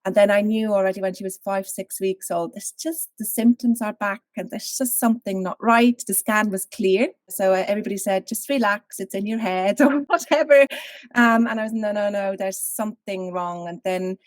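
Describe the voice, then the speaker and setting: A woman in her thirties, originally from Switzerland, speaking as an interview guest and telling a personal story in a candid, animated way over a video call. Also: mid-range voice, moderate pace, no echo.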